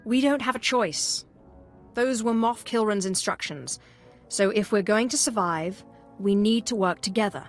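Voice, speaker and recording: An actress playing a poised, confident Sith in a video game, speaking with cool, deliberate assurance. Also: female voice, medium pitch, faint music behind, natural voice. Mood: reasoning, firm. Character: poised, confident young female Sith warrior-mystic.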